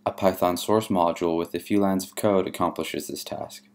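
Male narrator, low register, measured and methodical, for a scripted software demo. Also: steady tone. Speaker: software demonstrator. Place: voice-over for a screen recording.

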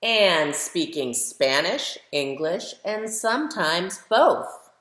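A middle-aged American woman, a children's author, reads a picture book aloud and speaks directly to young listeners with emphatic, animated delivery. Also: on the low side, slow pace. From United States. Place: medium living room at home, indoors. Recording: roomy, echoey home recording.

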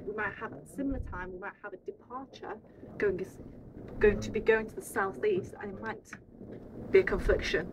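Young woman explaining, very noisy distant recording. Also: mid-range voice. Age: young adult. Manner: checks understanding with frequent tag questions. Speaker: air traffic controller giving a tour. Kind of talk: informal explanatory talk to a visiting group. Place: indoor radar control room. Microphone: handheld camera a short distance away. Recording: distant mic, loud room noise.

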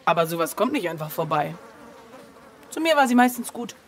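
An actress in a radio play voicing a female digital device, measured, reflective and a little detached. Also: fairly low pitch, big pitch swings, a little hiss or hum. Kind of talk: German radio play acting.

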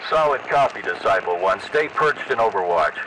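An actor playing a clipped, businesslike military radio operator in a shooter game, terse and authoritative. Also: male voice, on the low side, lively intonation, normal speed. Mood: commanding. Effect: radio filter. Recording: very noisy radio, distant from mic.

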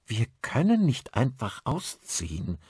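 A man's voice: medium pitch, wildly swooping pitch, a little slow, darkish tone, slightly rough, no echo, studio quality, pitched above the performer's own voice, full character voice. A man voicing an uneasy woman in an audiobook, hesitant and searching for words. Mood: troubled.

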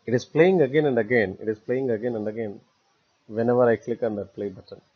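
A man narrating a step-by-step screencast tutorial as an instructor, phrasing haltingly in short, repetitive chunks. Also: mid-range voice, husky. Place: voice-over for a screen recording. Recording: dull, lo-fi voice recording.

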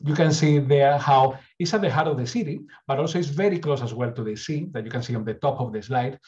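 A middle-aged man with a Spanish accent presents earnestly over a webcam call, often restarting and repeating words. His voice sits at an ordinary pitch. The audio is a little dull and lo-fi. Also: quick pace, a little mellow. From Spain. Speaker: university recruitment speaker. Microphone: webcam on a video call.

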